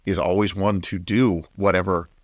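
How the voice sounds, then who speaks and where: Male author as radio guest, acted: deep register, earnest, deliberate, stop-and-start explanations, close dry recording. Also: level tone, dry recording, lightly acted. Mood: earnest, explanatory. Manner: halting, deliberate, emphatic explaining. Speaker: interview guest. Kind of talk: late-night radio interview commentary.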